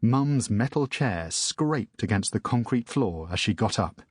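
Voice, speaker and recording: A man narrating a children's audiobook in a measured storytelling manner. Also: fairly low pitch, expressive, slightly rough, dry recording, studio quality.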